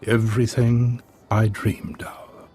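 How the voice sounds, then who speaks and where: An actor playing an old terrorist master in a video game, calm, smug and menacing, with a husky voice. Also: male voice, fairly low pitch, slow pace. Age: older. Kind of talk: video game villain acting.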